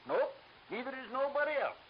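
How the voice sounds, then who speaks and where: Folksy gas station kid, high swooping voice, joker, old radio drama, muffled recording. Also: male voice, expressive, fairly quick, a little hiss or hum. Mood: gossipy. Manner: drawling folksy wisecracker who laughs at own jokes. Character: gangling joking small-town gas station youth. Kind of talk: old-time radio detective drama acting.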